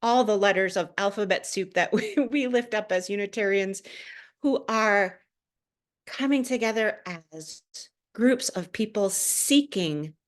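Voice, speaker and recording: A woman minister delivering a prepared sermon to a congregation, phrasing deliberately and pausing for emphasis. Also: mid-range voice.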